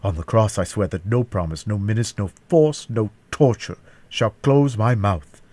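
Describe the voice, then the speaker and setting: A man's voice: on the low side, moderate pace, a little mellow, no room sound, close to an everyday voice. Audiobook heroine in captivity voiced by a man: anguished, defiant, vehement, declamatory. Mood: defiant oath. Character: defiant, anguished captive young woman, proud and vengeful. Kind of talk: classic novel audiobook character voice.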